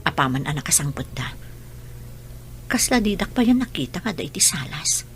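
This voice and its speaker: A woman's voice: low voice, sing-song, normal speed, music in the background. A Filipino woman playing an anguished, stubborn mother pleading with her children in a radio drama. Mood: hurt, brooding.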